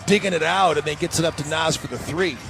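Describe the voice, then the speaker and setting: A man's voice: on the high side, fast, over music, crowd noise. A man giving live basketball commentary as a radio color analyst. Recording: clearly noisy live arena ambience.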